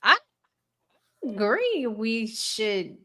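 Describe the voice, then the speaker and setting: A woman hosting a casual discussion, deliberate, pausing mid-thought and posing rhetorical questions. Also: on the high side, very animated, sharp tone. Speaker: talk show host.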